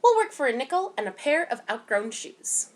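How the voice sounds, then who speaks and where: A woman's voice: sits high, very animated, normal speed, voice pushed up. A woman voicing an earnest, hopeful young girl in a children's storybook, speaking expressively. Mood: determined.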